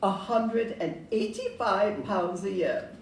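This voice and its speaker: A woman acting a polite Victorian estate agent in a stage audio play, courteous, deliberate and salesmanlike. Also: medium pitch, very animated. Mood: apologetic selling. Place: live indoor performance in a club room. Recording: roomy distant live recording, background noise.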